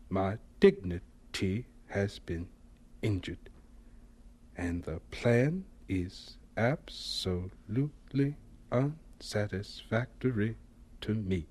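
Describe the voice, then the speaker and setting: An actor playing an earnest, proud scientist and professor in a radio drama, with a husky voice and an emotional, deliberate delivery. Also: male voice, on the low side, darkish tone, heavily acted.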